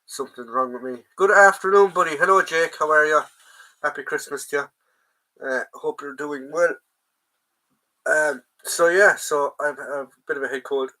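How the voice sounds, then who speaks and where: A man's voice: a little high, moderate pace, sharp tone, low-quality recording. An Irish man with a stuffy nose from a head cold, hosting a casual live chat from home, rambling with plenty of ums and greeting viewers by name. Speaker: livestream host, collector.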